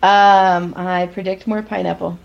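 A woman's voice: on the low side, level tone, measured pace, gravelly, lo-fi sound. A woman co-hosting a podcast, chatting casually with wry, teasing asides. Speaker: podcast co-host. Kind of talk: casual TV recap chat with friends.

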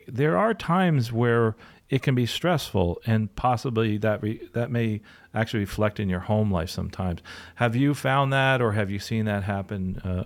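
A man hosting a podcast in an upbeat, affirming, conversational way. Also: lowish voice. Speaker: podcast host, pilot and career coach. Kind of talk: conversational interviewing.

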